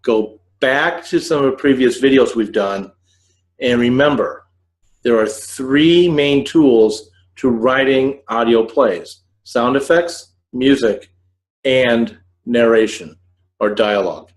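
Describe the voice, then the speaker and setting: A man in his forties hosting an informal instructional podcast, talking to the camera in halting, measured phrases from a living room. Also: medium pitch, rough recording. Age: 40s. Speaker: host of a scriptwriting video podcast. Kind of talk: informal explanatory talk to camera. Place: living room on a couch, indoors.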